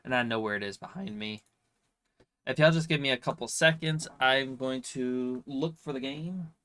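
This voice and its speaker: A man's voice: medium pitch, normal speed, bright, forceful tone. Nasal man with a slight cold. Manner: rambling, filler-heavy, swears freely. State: slight cold, stuffy nose. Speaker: streamer answering viewer questions. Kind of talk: casual chat.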